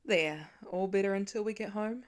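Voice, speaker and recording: A woman's voice: medium pitch, moderate pace, lightly acted. A woman voicing a protective, loving father in an audiobook, with an earnest parental way of talking. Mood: tender concern. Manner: soothing, earnest parental talk. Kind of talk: audiobook character acting.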